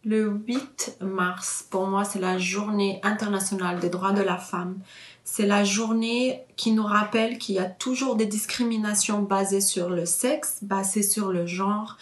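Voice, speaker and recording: A woman's voice: mid-range voice, fairly even intonation, unhurried, roomy sound. A woman in her thirties, originally from Morocco, giving a personal testimony in an earnest way with many hesitations and fillers. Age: 30s. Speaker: interviewee.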